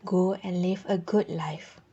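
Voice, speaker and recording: A gentle, submissive woman played by an amateur actress in a radio drama, speaking slowly in a breathy voice. The recording is a little muffled. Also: lowish voice, steady tone. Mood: persuasive.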